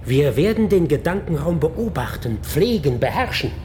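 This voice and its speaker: Haunted tired scientist on the run, grave and brooding, sci-fi radio play, lots of background noise. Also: male voice, on the high side, sing-song, moderate pace, darkish tone. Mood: bitter, declamatory. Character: weary, haunted fugitive scientist, a grave man. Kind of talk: German science-fiction radio drama acting.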